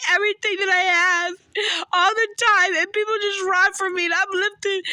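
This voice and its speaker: A woman's voice: very high-pitched, bright, forceful tone, no echo. A distraught woman with a cold and a failing voice, rambling emotionally into her phone. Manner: distraught, rambling, repeats "or whatever". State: has a cold, losing her voice. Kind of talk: emotional phone video rant.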